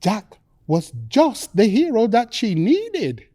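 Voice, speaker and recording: A woman's voice: on the high side, sing-song, dark tone, dry recording. Casting: female bedtime storyteller, theatrical and suspenseful, widely swooping intonation, close dry sound. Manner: dramatic, drawn-out phrasing with suspenseful pauses.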